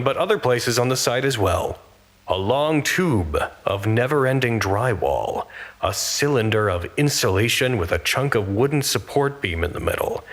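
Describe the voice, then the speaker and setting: A man acting as a wry communications head in an audio drama, describing things in a dry, measured way. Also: mid-range voice, a bit bright, close to an everyday voice. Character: wry, observant communications head reporting on an art show. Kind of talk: audio drama narration into a radio pack.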